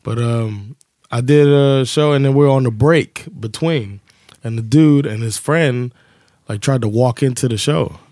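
A man co-hosting a casual podcast, telling a story in English, animated and laughing, acting out the quotes. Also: medium pitch, unhurried, no room sound, studio quality. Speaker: podcast co-host and comedian.

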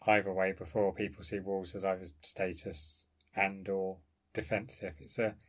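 Low-voiced man explaining research. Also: flat delivery, normal speed, fairly bright tone. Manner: explanatory, discursive, hedging. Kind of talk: informal academic discussion.

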